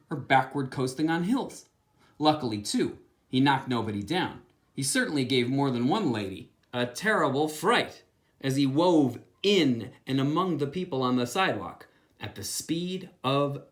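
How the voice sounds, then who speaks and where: A pastor reading a children's book aloud, with expressive storytelling. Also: male voice, a little high.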